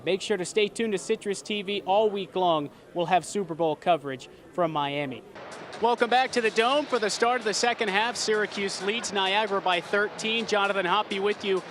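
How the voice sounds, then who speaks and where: A man in his early twenties doing sports play-by-play and reporting with a polished broadcast delivery. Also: high-pitched. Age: early 20s.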